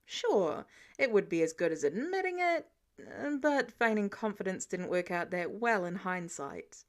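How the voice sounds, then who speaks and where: A woman's voice: medium pitch, big pitch swings, unhurried. A woman reading scripted story narration as an audiobook narrator. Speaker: fan fiction audiobook narrator.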